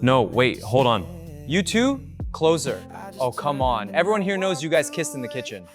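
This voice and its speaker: A man voicing a playful, bossy young man among friends in an audiobook, with an expressive, teasing delivery. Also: mid-range voice, moderate pace, over music, voice pushed up, close to an everyday voice. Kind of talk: audiobook character dialogue.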